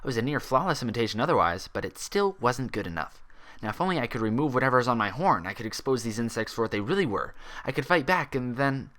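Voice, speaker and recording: A man's voice: mid-range voice, big pitch swings, fast talker, fairly bright tone. A man voicing an anxious, paranoid young female pony, thinking feverishly, in a storybook reading. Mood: frantic suspicion. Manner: hesitant aloud, feverishly theorizing in thought. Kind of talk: storybook character voice in fan fiction reading.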